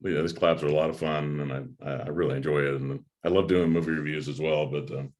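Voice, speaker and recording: Very deep-voiced man chatting haltingly. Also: steady tone, darkish tone, slight background noise. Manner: halting, repeats words. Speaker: host of a movie review channel. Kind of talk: casual chat. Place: home room with a desk, on a video call.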